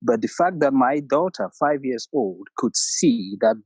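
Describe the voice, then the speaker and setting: A middle-aged man from Cameroon with a Cameroonian accent, calling in to tell a personal story in a halting way with many restarts. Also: medium pitch, lively intonation, a little mellow, husky, no room sound. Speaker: caller. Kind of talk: personal story on a call-in show.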